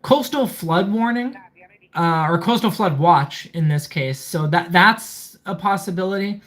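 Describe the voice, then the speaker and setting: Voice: medium pitch, a little slow, fairly bright tone. Methodical livestream gadget reviewer. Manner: methodical, reading items aloud then commenting. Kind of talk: casual live product walkthrough.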